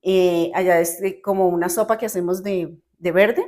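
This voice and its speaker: Una mujer de Bogotá, con acento colombiano, conversa de forma animada y espontánea como invitada, riendo con facilidad. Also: female voice, on the low side, normal speed. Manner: chatty, animated, laughs easily, self-correcting. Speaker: guest. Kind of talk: casual chat.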